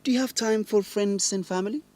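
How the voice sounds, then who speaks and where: A man's voice: sits high, a little fast, a little mellow, natural voice. An actor playing a blunt, opinionated, teasing man in an educational radio drama. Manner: blunt, challenging.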